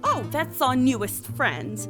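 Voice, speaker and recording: A bubbly young god of wine and doting nephew, voiced by a woman in a fantasy roleplay. The delivery is theatrical and exclamatory, leaping around in a high register over clearly audible music. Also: sing-song, unhurried. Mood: delighted, welcoming. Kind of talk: ASMR fantasy roleplay acting.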